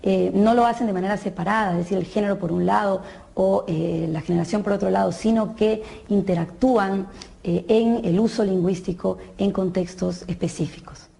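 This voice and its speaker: A woman expert giving a measured explanation, pausing often with "eh". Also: medium pitch, fairly even intonation, gravelly, dark tone. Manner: measured, frequent "eh" pauses. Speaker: linguistics expert.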